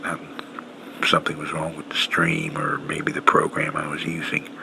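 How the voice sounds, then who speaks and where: A man hosting a radio show, talking casually in a halting, low-key, unscripted way. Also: very animated. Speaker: host of an old-time radio show. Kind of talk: casual unscripted sign-off talk. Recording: clearly noisy home-made recording.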